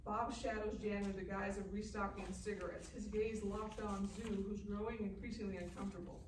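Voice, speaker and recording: A woman's voice: mid-range voice, flat delivery, fairly quick. A woman reading stage directions as scripted narration at a live table read. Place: live festival event room. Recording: echoey, distant, noisy live room.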